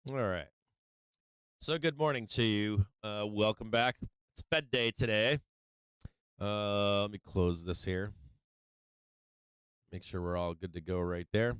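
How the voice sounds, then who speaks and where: Male stream host, low and resonant but slightly worn voice, casual delivery, dry close sound, faint guitar behind. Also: steady tone, measured pace, pauses often, fairly bright tone, clear, resonant tone, no room sound. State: voice starting to go. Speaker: livestream show host. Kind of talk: casual livestream chat to viewers.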